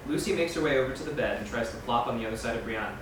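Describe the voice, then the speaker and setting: A man's voice: mid-range voice, racing. A man reading screenplay scene directions aloud as scripted narration at a table read. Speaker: stage directions reader. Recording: very echoey room, distant mic, noisy.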